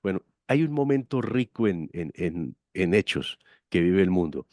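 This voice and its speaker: A man's voice: low-pitched, very animated, a little slow, mellow, no echo, studio quality. A man hosting an interview, courteous and effusive as he asks his questions. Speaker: interviewer, host.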